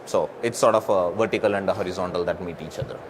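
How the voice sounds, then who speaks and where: A man's voice: low-pitched, fast talker. A man speaks as a co-presenter in a business presentation. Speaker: corporate co-presenter. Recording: clearly noisy live recording with ambience.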